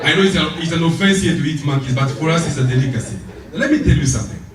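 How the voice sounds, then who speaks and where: A French-speaking man from Congo delivers an upbeat motivational speech in a Congolese-accented voice that sits a little high. He fires rhetorical questions at the crowd and repeats his punchlines. The sound is distant and booms around a large, very echoey hall. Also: rapid-fire, noisy background. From Democratic Republic of Congo. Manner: lively, asks the audience rhetorical questions and repeats key phrases.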